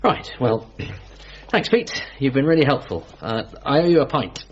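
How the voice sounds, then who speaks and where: A man's voice: medium pitch, big pitch swings, normal speed, breathy edge, dull audio. An actor playing an anxious, awkward son in a radio comedy drama, stammering and flustered as he talks. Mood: dry. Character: anxious, awkward bereaved son, excitable and sarcastic.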